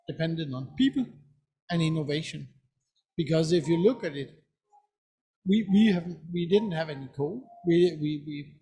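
A man's voice: fairly high pitch, a little mellow. A middle-aged Danish man with a Danish accent, answering earnestly and emphatically on a conference panel stage, often repeating words. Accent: Danish, from the west coast. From Denmark. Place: conference pavilion stage, indoors.

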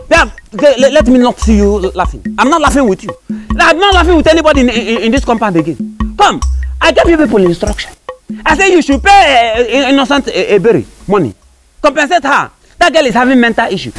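A bossy caretaker, played by a man who scolds and repeats his threats. His voice is very high and lively. Music runs under the scene. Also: heavily acted. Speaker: compound caretaker. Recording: music bed behind.

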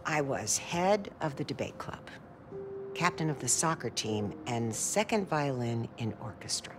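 A middle-aged woman voicing a caring, reflective mother figure in a video game, measured and gently encouraging. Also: extremely low pitch, animated, music in the background. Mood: gentle, reflective. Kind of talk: cinematic video game dialogue.

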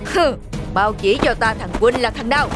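A woman's voice: a little high, moderate pace, close to an everyday voice. A woman doing a pompous old scholar bully. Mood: haughty. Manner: haughty, scolding. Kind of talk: cartoon voice acting. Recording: music playing under voice.

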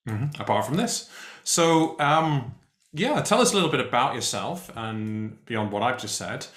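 A man hosting a live interview show over a video call, talking in a relaxed way with many ums and pauses. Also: medium pitch. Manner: relaxed, hesitant with many fillers. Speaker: host. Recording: roomy echo on a video call.

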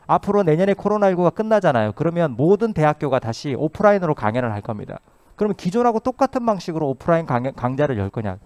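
A man's voice: on the high side, darkish tone, dry recording. A middle-aged man speaking as a professor on a panel, arguing emphatically with rhetorical questions, into a handheld microphone through a mask. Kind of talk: panel talk, explanatory argument. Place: stage at an expo panel, indoors. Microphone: handheld microphone, speaking through a face mask.

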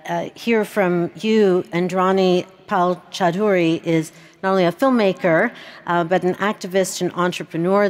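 A middle-aged woman moderating a conference panel on a large stage, measured and reflective, pausing on fillers, into a headset mic. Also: on the low side.

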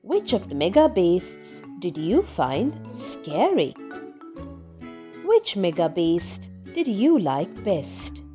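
A woman narrates scripted words and questions for children. Her voice is mellow and varied in pitch, and her phrasing is very slow and deliberate, over faint music. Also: sing-song. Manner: deliberate, clearly separated phrases. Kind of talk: scripted vocabulary list and questions.

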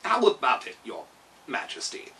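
A man voicing a fearless, blunt clockwork metal man from a storybook, with a jerky, halting delivery. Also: mid-range voice, wildly swooping pitch, pushed and bright, voice pushed down. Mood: polite, halting.

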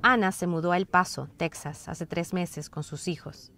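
A woman's voice: fairly low pitch, fairly even intonation, normal speed, a bit bright, a little hiss or hum. A woman reading scripted narration for a news report.

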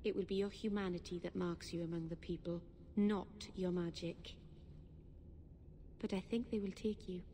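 An actress playing a gentle, thoughtful elf woman in a video game, speaking softly and with measure. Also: fairly low pitch, steady tone, measured pace, long pauses, slight background noise. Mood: gently reassuring. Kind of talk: video game dialogue acting.

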